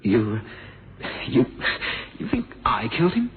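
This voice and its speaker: An actor playing an evasive, sardonic young heir under suspicion in a radio mystery drama, speaking in a hesitant, clipped way. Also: male voice, medium pitch, very animated, slow pace. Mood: defensive. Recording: noisy, phone-like old radio recording.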